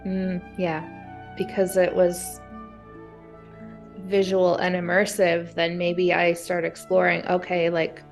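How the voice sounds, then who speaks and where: A woman in a casual brainstorming chat, talking in an animated way and acting out her thoughts as she goes. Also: on the low side, slow pace, vocal fry, soft background music. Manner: animated, acts out imagined thoughts and exclamations. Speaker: guest being coached through writer's block.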